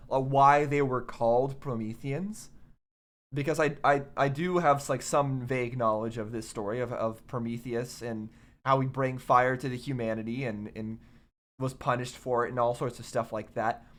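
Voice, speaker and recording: A man doing casual, rambling reaction commentary on a game, halting and puzzled as he thinks aloud, his voice a little off as he recovers from illness. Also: mid-range voice, a bit bright. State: recovering from covid, voice slightly affected. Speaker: host of a video game reaction show.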